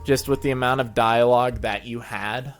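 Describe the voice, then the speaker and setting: A man's voice: medium pitch, fairly even intonation, normal speed. A man hosting a casual interview, chatting in an agreeable, informal way. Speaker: show host and interviewer. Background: background music.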